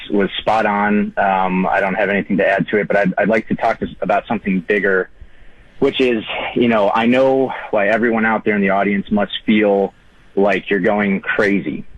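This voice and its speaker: A man's voice: fairly low pitch, no echo. A man in his thirties calling in to a talk show, speaking earnestly with many fillers and restarts. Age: 30s. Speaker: caller. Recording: muffled dry call-in line.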